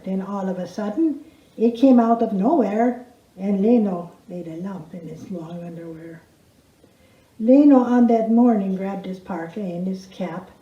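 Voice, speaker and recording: A woman's voice: medium pitch, dark tone. A woman reciting a rhymed comic story in an emphatic, folksy storytelling manner. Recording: roomy echo, dull sound.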